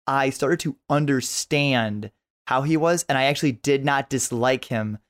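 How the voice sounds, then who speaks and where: A man talks casually as a podcast guest, with the keenness of a devoted fan. He often doubles back on his phrases as he chats about anime at a middling pitch. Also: measured pace, a bit bright.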